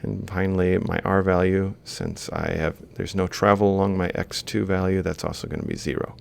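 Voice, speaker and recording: A male lecturer speaks in a deep voice thick with creak. He explains a worked example informally, one step at a time, thinking aloud as he goes. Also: steady tone, moderate pace, a little hiss or hum. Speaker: university lecturer.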